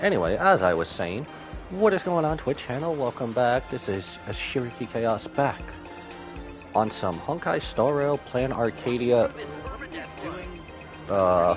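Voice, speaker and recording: A man giving casual live commentary as a game streamer, rambling and animated, with jokey asides. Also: mid-range voice.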